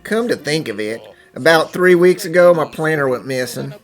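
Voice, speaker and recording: A woman voicing a wary, easily flustered office worker in an animated fan dub. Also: low-pitched, moderate pace, soft background music. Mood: recalling.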